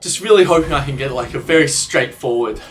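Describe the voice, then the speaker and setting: A man, slightly croaky from a cold, commentating his own gameplay and thinking aloud about strategy in a self-deprecating way. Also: mid-range voice, fairly quick, distant mic. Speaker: gaming channel host.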